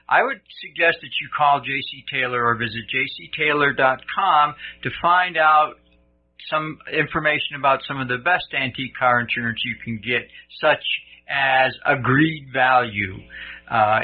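A man hosting a radio show, reading an insurance ad in a plain, slightly halting way. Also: mid-range voice. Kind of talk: read ad spot. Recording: tinny phone-like audio.

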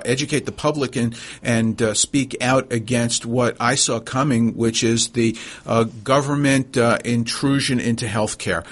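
New York man hosting radio talk, halting delivery. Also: mid-range voice. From New York, USA. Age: middle-aged. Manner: halting, hesitant delivery with frequent pauses. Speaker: radio talk show host, physician.

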